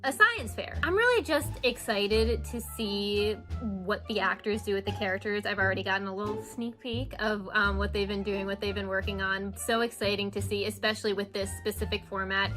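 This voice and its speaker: Bright, forceful enthusiastic woman. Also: medium pitch, normal speed, few pauses. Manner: enthusiastic, conversational. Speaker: playwright. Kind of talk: casual promotional interview about own work. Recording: music behind the voice. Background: music.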